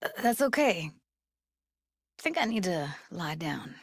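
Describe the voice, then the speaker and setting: Young streetwise techie woman for a game, slangy and clipped, lowish voice, takes her time. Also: very animated, takes it slowly, husky, no echo, studio quality, exaggerated voice. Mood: tired, subdued. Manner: casual, clipped, slangy delivery. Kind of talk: naturalistic video game dialogue.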